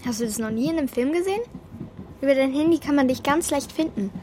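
A woman's voice: high voice, expressive, quick pace, dark tone, slight background noise. A woman playing a bossy, streetwise young girl with a brash, know-it-all manner in a children's radio play. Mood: confident.

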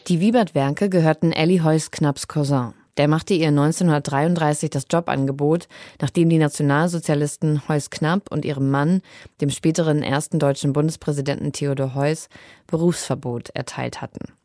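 A woman's voice: sits low, fairly even intonation, moderate pace, warm tone, no room sound, clean studio sound. A woman reading a scripted radio feature as a reporter.

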